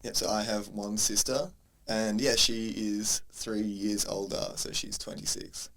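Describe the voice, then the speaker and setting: A low-voiced Australian man in his twenties with a lot of vocal fry in his voice. He speaks in a friendly, measured way, trading questions on a casual podcast. His tone stays calm and conversational. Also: poor audio. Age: 20s. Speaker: podcast co-host, university tutor. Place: university room.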